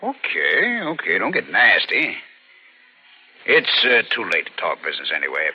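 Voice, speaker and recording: An American actor playing a scheming, menacing crook in an old radio thriller, with a sly, mock-friendly, insinuating way of talking. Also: male voice, low voice, huge pitch swings, moderate pace, husky, full character voice. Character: scheming, menacing crook after his uncle's money. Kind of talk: 1940s radio thriller acting. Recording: dull old radio drama recording.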